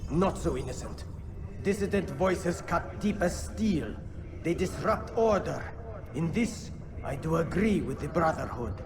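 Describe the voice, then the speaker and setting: An actor playing a cruel, zealous crusade-era tyrant in a video game, speaking in a grandiose, declamatory manner. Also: male voice, a little high, expressive, unhurried, noisy background. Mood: gloating, unrepentant. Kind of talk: video game dramatic acting, oratory. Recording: loud music behind.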